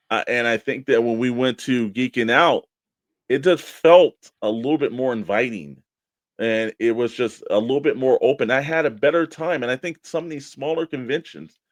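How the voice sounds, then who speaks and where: A man's voice: mid-range voice, no room sound. An American man co-hosting a toy collecting show in casual, informal conversation with spoken emphasis. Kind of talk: casual radio-show chat.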